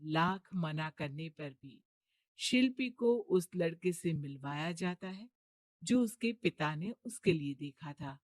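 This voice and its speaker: A woman's voice: deep voice, expressive, slow pace, darkish tone, dry recording. A woman narrator giving scripted narration for a cartoon moral story.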